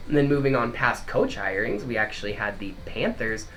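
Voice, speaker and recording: A man's voice: medium pitch, very animated, fairly quick, fairly bright tone, soft background music, rough recording. A young man co-hosting a casual sports podcast, his voice congested from a head cold, chatting his way through a news rundown. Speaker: podcast co-host.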